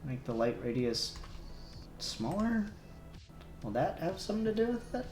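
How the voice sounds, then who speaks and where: A man's voice: a little high, sing-song, moderate pace, a little mellow, soft background music. A man co-hosting a casual livestream, muttering to himself and trailing off as he works. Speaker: livestream co-host. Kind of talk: casual livestream chat while working. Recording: echoey room.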